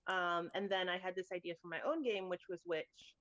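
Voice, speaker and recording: A woman from Thailand talks casually as a guest about her path into game design. Her voice sits a bit low and is recorded dry and close. She peppers her speech with "like" and laughs often. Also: fairly even intonation, moderate pace, fairly bright tone, no room sound, dull audio. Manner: chatty, lots of "like" and laughs. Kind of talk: casual interview chat.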